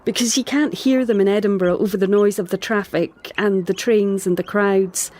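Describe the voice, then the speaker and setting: A woman playing a troubled, defensive island woman in an audio drama, speaking in a halting, distressed way. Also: medium pitch, fairly even intonation, a little mellow, lightly acted. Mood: defensive, bitter.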